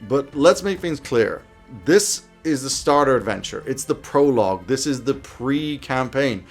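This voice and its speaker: A man's voice: mid-range voice, expressive, measured pace, soft background music. A man in his thirties presenting scripted game master advice to camera from a small home office. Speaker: YouTube host giving game master tips.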